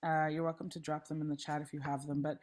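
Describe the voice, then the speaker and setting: A woman facilitating an online meeting, a little hoarse, friendly and chatty with frequent ums, speaking through a laptop mic on a video call. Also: low voice, level tone, fast talker, darkish tone. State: slightly hoarse. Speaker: session facilitator. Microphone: laptop mic, then headphones.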